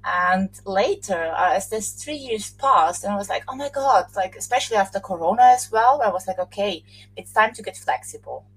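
A woman from Austria with a slightly husky voice talks at a middle pitch. She answers questions in a loose, chatty way. She laughs now and then and often circles back to restart a thought. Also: animated, normal speed, rough recording. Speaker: podcast guest. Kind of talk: casual interview chat.

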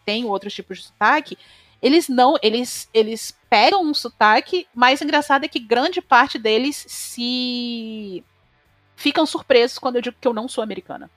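A woman from Brazil with a Brazilian Portuguese accent, a chef talking as a guest in a casual interview, opinionated and animated, her voice jumping up when she stresses a point. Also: on the high side, moderate pace, dry recording, soft background music. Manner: animated, opinionated, rising sharply when emphatic. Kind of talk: casual conversation.

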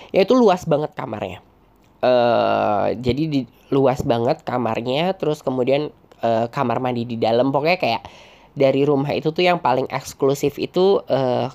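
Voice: mid-range voice, a little mellow. Young adult, stuffy nose, nasal, rambling, chatty, hesitant. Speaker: podcast host. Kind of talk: casual solo storytelling.

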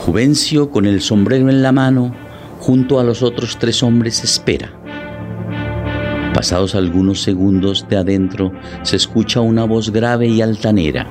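A man narrating a literary drama in a solemn, deliberate way, with music behind his voice. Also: fairly low pitch, steady tone.

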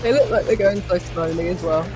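A man's voice: high voice, brisk, low-quality recording. A man making dry, casual joking asides as a podcast co-host while watching a film. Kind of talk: casual chat, movie reaction commentary. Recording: film soundtrack playing loudly behind. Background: movie audio and music.

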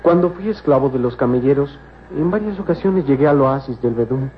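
An actor playing a loyal, devout young servant in an old radio serial, with a respectful, earnest manner. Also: male voice, medium pitch, lively intonation, brisk, natural voice. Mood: playful, teasing. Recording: muffled, noisy old radio serial recording.

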